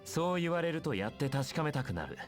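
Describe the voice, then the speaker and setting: A friendly, curious young man, a soldier in a video game. He speaks very rapidly and casually, his pitch moving in a lively way. Soft music sits behind the voice. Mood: playful, curious. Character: easygoing, curious, considerate young male soldier. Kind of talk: Japanese video game voice acting.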